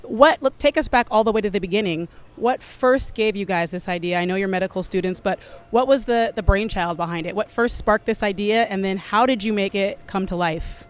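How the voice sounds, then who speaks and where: Clear-voiced woman conducting a TV interview. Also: mid-range voice, brisk, hardly any pauses. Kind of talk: on-camera event interview.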